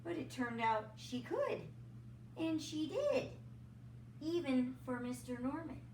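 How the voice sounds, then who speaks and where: A woman's voice: high voice, big pitch swings, slow, darkish tone, echoey room, distant mic, a little hiss or hum, low-quality recording. A woman reading a children's picture book aloud expressively, with chatty asides. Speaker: storybook reader, teacher. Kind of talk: read-aloud children's story narration.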